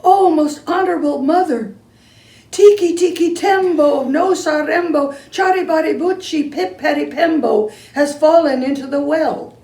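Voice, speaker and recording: A woman voicing a frantic, breathless little boy who shouts high and fast while out of breath, heard from a distance in an echoey room. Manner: high, loud, rushing, out of breath.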